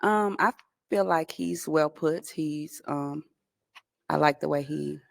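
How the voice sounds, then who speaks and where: A woman's voice: deep voice, slow pace, gravelly, no room sound. A woman from Arizona, a dating show contestant, talking hesitantly with many fillers.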